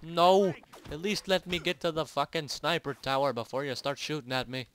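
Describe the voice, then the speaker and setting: A young man casually commentating on a shooter game, joking and getting loud when excited. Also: on the high side, moderate pace, a little hiss or hum. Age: young adult. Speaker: gaming channel host. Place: home, recorded late at night.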